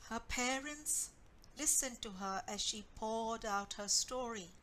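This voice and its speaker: A woman author reading her own story expressively, with deliberate, dramatic phrasing. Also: fairly high pitch, bright, forceful tone, slight background noise, low-quality recording.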